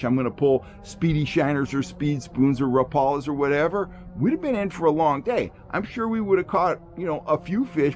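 A middle-aged American man giving instructional outdoor vlog talk as an angler, explaining points emphatically in folksy phrasing. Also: fairly high pitch. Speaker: fishing show host and angler. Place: outdoors among trees.

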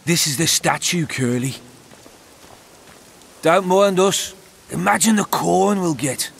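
A coarse, greedy thug in a video game. The high voice crackles with fry and drags slowly, swinging in pitch. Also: male voice, animated, a bit bright, full character voice. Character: greedy, crude male bandit thug. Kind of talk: video game dramatic dialogue.

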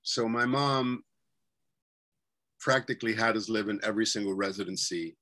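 A man in his forties with a New York accent and a fairly low voice talks over a webcam from a bedroom at home. He rambles through his memories, with plenty of fillers and restarts. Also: moderate pace, sharp tone. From New York City. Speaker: guest. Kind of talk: casual interview chat. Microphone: webcam on a video call.

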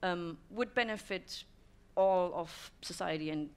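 A woman's voice: on the low side, unhurried, clean tone. German woman giving a talk. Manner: thoughtful, frequent "um" fillers. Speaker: speaker. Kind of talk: conference talk. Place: dim stone-walled room with audience.

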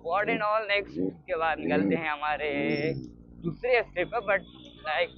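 A man's voice: on the high side, slow pace, vocal fry. An Indian man chatting casually and off the cuff as a motorcycle vlogger, rambling and repeating himself as he mixes two languages. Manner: rambling, repetitive, switching between Hindi and English. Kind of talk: casual unscripted chat while riding. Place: outdoors on a motorcycle on the road. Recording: muffled, noisy motorbike ride with engine and traffic sounds. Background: vehicle and road noise.

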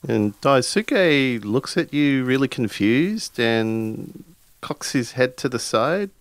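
A man improvising dialogue and narration in a tabletop roleplaying game, haltingly searching for words. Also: medium pitch, lively intonation, no echo.

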